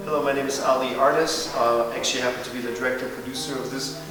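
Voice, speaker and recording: A man's voice: mid-range voice, steady tone, quick pace. Man from Turkey in an echoey hall. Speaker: adult ballet student and video director. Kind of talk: testimonial interview. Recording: roomy hall echo, distant mic, music behind.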